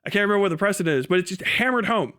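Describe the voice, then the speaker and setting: A man's voice: sits high, lively intonation, very fast, bright, forceful tone. A man hosting a livestream, giving off-the-cuff commentary in an emphatic, repetitive, argumentative way with casual swearing. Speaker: live stream host.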